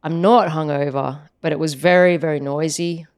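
A woman co-hosting a podcast in casual chat, her voice husky and a little hoarse from shouting, talking in a chatty, upbeat way and explaining things plainly. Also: fairly even intonation, moderate pace. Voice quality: low, croaky. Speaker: podcast co-host, startup founder.

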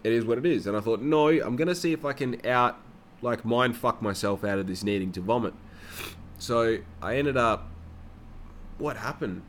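A man's voice: mid-range voice, normal speed, a little hiss or hum. A young man rambling through a casual personal monologue, swearing freely. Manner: rambling and heavily profane.

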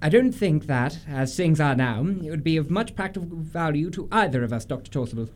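A man playing an earnest young English gentleman, formal and insistent, in a comic radio play. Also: fairly high pitch, lively intonation, brisk, lightly acted. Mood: formal, polite. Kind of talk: stage comedy radio acting.

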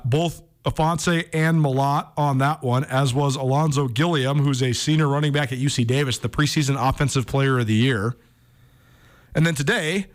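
Conversational American male sports radio host. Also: mid-range voice. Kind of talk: casual sports talk radio commentary.

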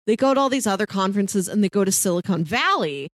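A woman podcast co-host with a medium-pitched voice, recorded close and dry. She talks casually and retells stories in an animated way, slipping into quoted lines as she goes. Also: fast, dry recording. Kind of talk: casual discussion of a TV series.

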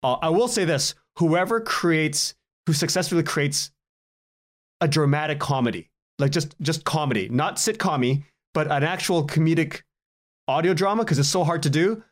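Guy on a podcast interview, kinda high voice, chatty and informal, thinks out loud in stops and starts. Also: normal speed. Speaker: guest, writer and podcaster. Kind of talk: casual interview chat.

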